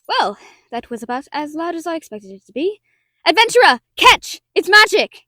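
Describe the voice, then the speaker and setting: A woman playing a wry, affectionate young merchant in a fantasy audio drama, gently teasing and a little hesitant. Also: extremely high pitch, sing-song, normal speed, no room sound, exaggerated voice. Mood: wry, urgent.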